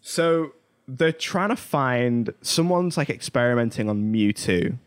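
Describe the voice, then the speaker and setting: A man's voice: mid-range voice, expressive, unhurried, clean tone. Rambling male podcast co-host. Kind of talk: casual chat about films.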